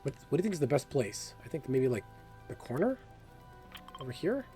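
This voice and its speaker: Mellow-voiced man chatting through gameplay, music behind. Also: mid-range voice, sing-song, a little fast. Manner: chatty, thinking aloud with rhetorical questions; enthusiastic about the game. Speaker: gaming video creator and host. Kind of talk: casual gameplay commentary.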